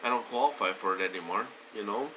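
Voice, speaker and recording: A man's voice: on the low side, fast, bright, forceful tone, a little hiss or hum, low-quality recording. A man talking casually to his viewers, wheezy and short of breath, rambling with many fillers and restarts. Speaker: vlogger. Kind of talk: casual talk to camera.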